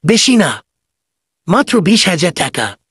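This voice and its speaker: A man's voice: high-pitched, sing-song, a little slow, a bit bright, husky, no room sound, studio quality, full character voice. A man voicing a sly, glib goat thief and swindler in a comedy cartoon. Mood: boastful, glib. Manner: glib, wheedling salesman patter.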